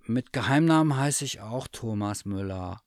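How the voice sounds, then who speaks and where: A small stuffed teddy bear, naive and polite, chirping up high in a storybook, as a man puts him on. The voice is close and dry, with a gravelly edge. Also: lively intonation, moderate pace, dry recording, close to an everyday voice. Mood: eager. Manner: eager, chirpy and high-pitched.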